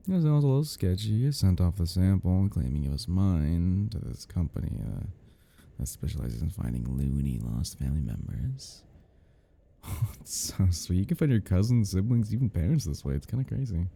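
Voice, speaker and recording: A man playing an obsessive, smug and playful stalker in an intimate roleplay audio, husky and gravelly, teasing and drawling, with drops into whispers. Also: low-pitched, warm tone, exaggerated voice. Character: obsessive, smug, playful male yandere crime scene cleaner stalker.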